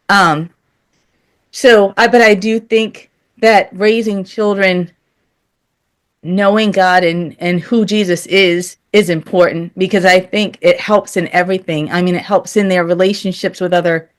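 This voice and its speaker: A woman speaking earnestly and candidly as an interview guest, in a conversational style. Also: medium pitch.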